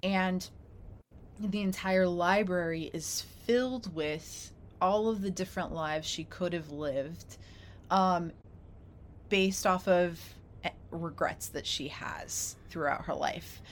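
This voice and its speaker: Casting: woman podcast co-host, slightly low register, chatty, giggly, casual delivery, faint background noise. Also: slow. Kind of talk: casual chat.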